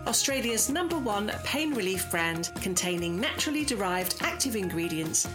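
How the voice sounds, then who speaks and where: Casting note: female announcer, lively pitch, polished scripted read over clear music. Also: moderate pace. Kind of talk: scripted podcast intro.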